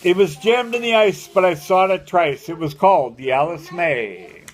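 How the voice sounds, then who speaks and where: High-pitched older man reciting a poem. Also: normal speed, slight background noise. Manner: rhythmic, theatrical storytelling delivery. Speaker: grandfather reading a poem aloud to family. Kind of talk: dramatic amateur poem recitation.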